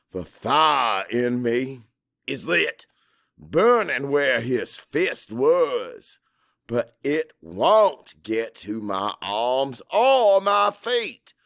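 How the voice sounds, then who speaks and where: A man reading dramatic first-person audiobook narration in a brooding, bitter, deliberate manner. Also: mid-range voice, big pitch swings, vocal fry, fairly bright tone, dry recording, clean studio sound.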